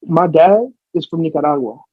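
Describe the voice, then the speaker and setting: A man's voice: a little high, moderate pace, dry recording, narrow, tinny audio. A young American man in casual podcast talk, explaining at length with many restarts and fillers. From United States. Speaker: podcast guest. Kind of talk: casual chat.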